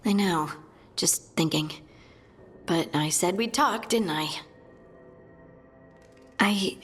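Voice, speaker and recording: A woman's voice: on the low side, a bit bright. A woman voicing an earnest, hopeful female dwarf in a video game, speaking in a thoughtful, hesitant way.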